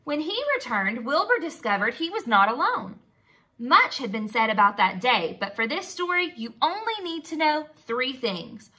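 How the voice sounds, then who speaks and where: A woman's voice: high voice, very animated, moderate pace, pushed and bright, husky. A woman teacher reading a storybook expressively to young children, asking them simple questions and praising them. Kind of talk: expressive storybook read-aloud and lesson.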